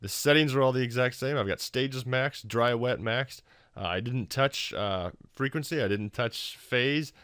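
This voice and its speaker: A man hosting a casual software tutorial in an informal, wry, self-deprecating way. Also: medium pitch, unhurried, clear, resonant tone, no room sound, clean studio sound. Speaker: tutorial host.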